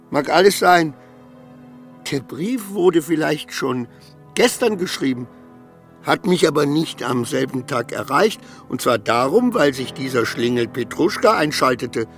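An actor playing a distraught, obsequious man losing his grip in a radio play, rambling and questioning himself in formal, old-fashioned phrases. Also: on the high side. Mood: agitated, indignant.

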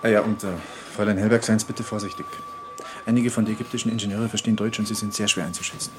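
An actor playing a formal, didactic rocket engineer in a naturalistic radio drama. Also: male voice, on the low side, flat delivery, rapid-fire, close to an everyday voice. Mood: confiding. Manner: didactic, formal, technical explaining. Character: brisk male German rocket engineer showing a new secretary around. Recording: noisy background of factory and corridors.

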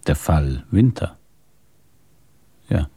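A man playing a measured, probing police inspector in a radio drama, husky and deliberate in his speech. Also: rumbling low, expressive, warm tone, exaggerated voice. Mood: matter-of-fact. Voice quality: husky, gravelly.

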